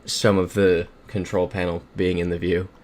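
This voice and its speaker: A man's voice: low-pitched, flat delivery, moderate pace, a little mellow. A young man streaming casually from a home room at a desk mic, upbeat, thinking aloud and reading out chat messages. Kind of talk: casual livestream commentary.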